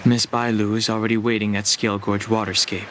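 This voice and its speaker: A man's voice: lowish voice, flat delivery, fairly quick, background noise, lightly acted. A man playing a reserved, cautious young warrior in a video game, restrained, polite and serious. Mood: matter-of-fact. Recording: loud music under the voice.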